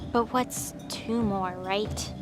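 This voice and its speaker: A woman's voice: mid-range voice, steady tone, over music, lightly acted. A woman playing a menacing, commanding war goddess in an audio drama, cold and imperious. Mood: quiet, reluctant. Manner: imperious, slow deliberate threats.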